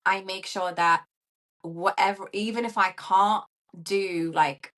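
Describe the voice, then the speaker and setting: A woman from Syria, a coach who is a guest on a podcast, talking in a chatty, animated way with plenty of fillers. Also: mid-range voice, slow pace. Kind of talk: casual interview chat.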